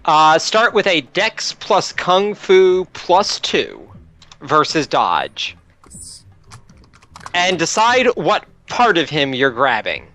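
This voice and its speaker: A man with a fairly high, very bright voice plays a tabletop game. His delivery is lively as he explains rules and quips casually over faint music. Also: slow pace.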